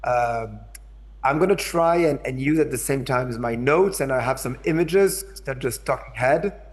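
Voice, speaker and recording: A man's voice: medium pitch, moderate pace. French-accented male speaker on a video call; hesitant, restarts a lot, keeps asking the room if they follow. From France. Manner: hesitant, repeats words, checks in with listeners. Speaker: invited speaker, curator. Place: in São Paulo, remote.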